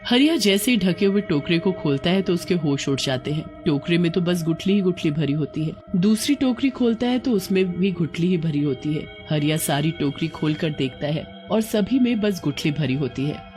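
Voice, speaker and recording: A woman's voice: fairly low pitch, animated, normal speed, a little mellow, faint music behind. A woman narrator reading a scripted moral horror tale in a dramatic storytelling style.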